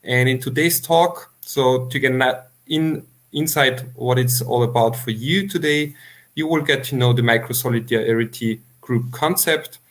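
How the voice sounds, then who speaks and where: A man hosts a livestreamed interview in mid-range pitch. He is a non-native English speaker, with halting and slightly unidiomatic phrasing as he addresses viewers. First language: not English. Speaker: interview host.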